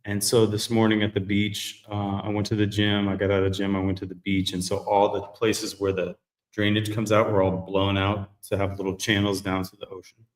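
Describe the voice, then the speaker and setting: A man hosting a live men's group talk show, chatting in a relaxed, rambling way. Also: fairly low pitch, a little fast, reverberant. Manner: relaxed, rambling, self-correcting. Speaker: host. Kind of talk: casual live chat.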